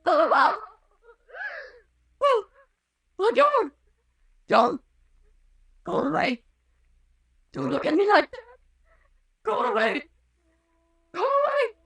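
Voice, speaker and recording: Scared wounded girl in old radio horror, super high wailing voice, swoops all over. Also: big pitch swings, slow pace, gravelly, pauses often, fairly bright tone, full character voice. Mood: hysterical terror. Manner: melodramatic, wailing, pleading. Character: terrified, wounded young woman in a horror serial. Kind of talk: 1930s-40s radio horror acting. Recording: echoey old radio recording, distant mic.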